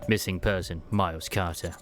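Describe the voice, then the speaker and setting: A man playing a serious, terse commander who reads in a measured way in a story game. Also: low-pitched, steady tone, fairly bright tone, pitched below the performer's own voice. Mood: grave. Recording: music behind the voice.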